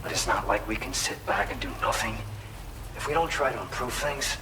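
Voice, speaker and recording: A man's voice: lowish voice, expressive, fairly quick, recorded from a distance, background noise, lightly acted. A man playing an earnest, friendly researcher in a video game, explaining things in a chatty way. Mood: concerned. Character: earnest male researcher at a remote observatory, friendly.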